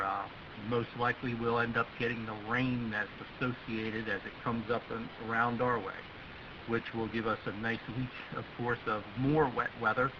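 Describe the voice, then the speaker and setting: An American man talks as a ham radio operator in a casual, wordy way. His mid-pitched voice comes over a muffled radio link with strong hiss behind it. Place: radio voice link, heard from the far end.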